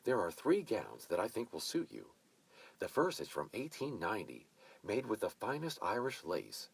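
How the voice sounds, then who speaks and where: A man voicing a bossy, effusive mature woman who hosts a wedding party and gives orders briskly. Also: fairly low pitch, huge pitch swings, gravelly, slightly rough, pitched above the performer's own voice, exaggerated voice. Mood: enthusing. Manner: brisk, commanding, gushing.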